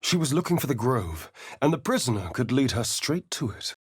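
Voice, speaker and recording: A man's voice: medium pitch, big pitch swings, normal speed, slightly rough, no room sound, clean studio sound. An actor playing a sly, vain, sardonic male vampire rogue in a video game, with an arch, drawling, theatrical delivery. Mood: measured. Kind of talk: theatrical video game character lines and narration.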